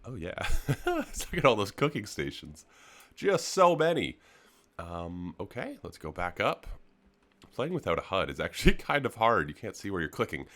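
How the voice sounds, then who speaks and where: A young man with a cold, his voice gravelly and nasal, giving an enthusiastic, rambling game walkthrough full of ums. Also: fairly low pitch, wildly swooping pitch, moderate pace, fairly bright tone. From Canada. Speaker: gaming YouTuber giving a server tour. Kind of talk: casual gameplay commentary.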